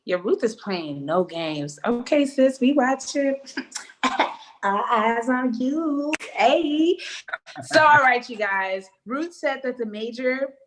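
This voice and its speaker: A woman's voice: on the high side, takes it slowly, noisy background. Playful young woman hosting a live chat. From United States. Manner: playful, animated, laughs often, reads viewer comments aloud. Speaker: co-host. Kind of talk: casual live-stream chat.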